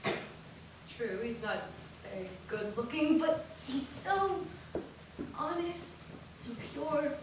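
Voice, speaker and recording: An actress playing a calm, dutiful elder sister who speaks softly and consolingly on stage. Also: female voice, high voice, normal speed. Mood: earnest. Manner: soft, hushed, consoling. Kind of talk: stage acting. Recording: echoey stage from far off, faint noise.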